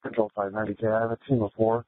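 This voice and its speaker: A man's voice: fairly low pitch, level tone, very fast. A male police officer giving an emergency update over police radio. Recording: tinny, slightly noisy scanner radio.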